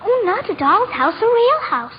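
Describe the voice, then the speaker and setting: A muffled old radio drama with faint hiss features a little girl. She is very high-pitched, earnest and skeptical, speaking in a matter-of-fact childish way. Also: big pitch swings, moderate pace. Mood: earnest, hopeful. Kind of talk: mid-century American radio drama acting. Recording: dull vintage radio recording, faint noise.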